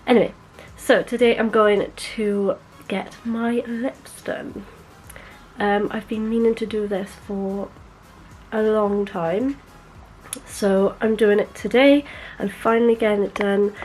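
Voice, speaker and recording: Young woman, casual vlogger, bubbly, rambling, medium pitch. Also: steady tone, takes it slowly, darkish tone, faint music behind, rough recording. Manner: bubbly, rambling, self-correcting, with giggles. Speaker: beauty vlogger. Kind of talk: casual chatty vlog to camera.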